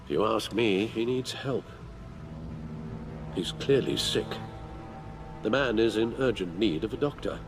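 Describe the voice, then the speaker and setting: An actor playing a loyal older butler in a video game, formal and dryly witty, a fretful caretaker. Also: male voice, fairly low pitch, animated, measured pace, pauses often. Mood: concerned, wry. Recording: music behind the voice.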